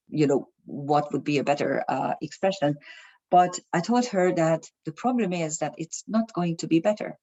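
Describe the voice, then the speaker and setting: A woman speaks in a low, gravelly voice, pausing often with fillers and restarting her sentences. She talks casually to her viewers as an astrologer. The recording sounds a little dull and lo-fi. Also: dark tone. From Germany. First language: Hungarian. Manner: hesitant, many fillers and restarts. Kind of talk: casual talk to camera.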